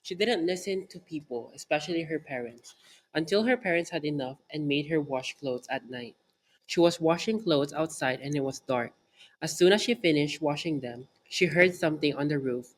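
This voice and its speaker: A woman playing a low-voiced, teasing old grandmother. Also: moderate pace. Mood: spooky storytelling. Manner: teasing, soothing, storytelling. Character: teasing, chuckling old Filipino grandmother storyteller.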